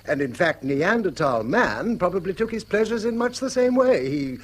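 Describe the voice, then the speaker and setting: An actor playing an earnest, donnish middle-aged schoolmaster in a radio play, courteous and a little hesitant. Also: male voice, sits high, very animated, normal speed. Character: weary, bookish middle-aged schoolmaster, earnest and gently pedantic.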